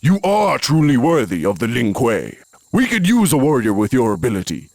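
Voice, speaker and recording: A stern warrior temple guard from a video game, with a gravelly, creaky voice. He declaims proudly and formally with wide swings of pitch, recorded very dry. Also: wildly swooping pitch, normal speed, darkish tone, dry recording. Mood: impressed. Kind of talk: video game cutscene voice acting.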